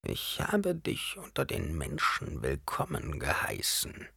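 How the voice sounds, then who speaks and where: A man reading an audiobook, voicing an old, imperious priestess in a hoarse, breathy whisper that drops low and drags out slowly. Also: wildly swooping pitch, fairly bright tone, no room sound, clean studio sound, exaggerated voice. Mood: reproachful. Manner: pleading and hissing, drawn out slowly. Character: shrill hissing ancient woman, imperious high priestess. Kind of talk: audiobook character voice.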